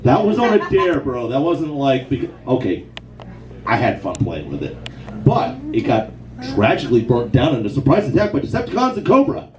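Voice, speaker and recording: Rambling fifty-something comic in a roomy bar. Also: male voice, mid-range voice, wildly swooping pitch, moderate pace, darkish tone, distant mic, slight background noise. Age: 50s. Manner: loose, rambling delivery with filler "man" and "bro". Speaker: stand-up comedian. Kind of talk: stand-up comedy set. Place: small kava bar comedy night. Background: audience laughter and heckles.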